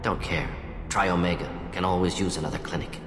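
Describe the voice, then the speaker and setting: Low-voiced, clipped alien scientist. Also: male voice, fairly even intonation, fairly quick, fairly bright tone. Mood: curt, dismissive. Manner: clipped telegraphic phrases, dropping small words. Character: aging male alien scientist professor, clipped, brisk, burdened by conscience. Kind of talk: video game cutscene acting. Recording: echoey hall reverb under background music.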